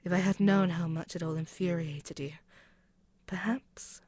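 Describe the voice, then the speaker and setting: An imperious demon queen, acted by a woman for an audio roleplay, speaks slowly and close, with a dry, roomless sound. She is haughty and possessive, musing with pauses as if toying with the one listener before her. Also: on the low side, steady tone, darkish tone, dry recording. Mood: pensive, wounded pride. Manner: haughty, deliberate, musing, with pauses and murmured asides. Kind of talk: scripted ASMR audio roleplay, spoken intimately to the listener.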